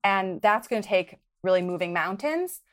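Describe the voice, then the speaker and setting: A woman's voice: mid-range voice, animated, normal speed. Earnest woman from Wisconsin talking. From Wisconsin, United States. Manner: earnest, advice-giving, occasional laughs. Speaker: podcast guest. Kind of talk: conversational interview.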